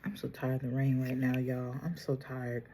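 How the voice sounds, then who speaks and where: A woman vlogger chatting casually through a makeup tutorial, soft-spoken and trying to project with a sore, strained throat. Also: rumbling low, flat delivery, normal speed, slight background noise. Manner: chatty, addresses viewers directly, trails off and restarts. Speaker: beauty vlogger.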